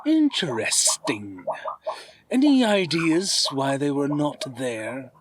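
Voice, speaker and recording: A man playing a scheming, amused villain lord in a fantasy audio drama, speaking in a measured, gloating way. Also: on the high side, big pitch swings, a little hiss or hum.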